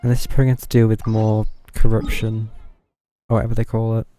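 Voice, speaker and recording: A man giving casual live commentary as he streams a game, low-key and musing. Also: completely flat delivery, fast, warm tone, faint music behind.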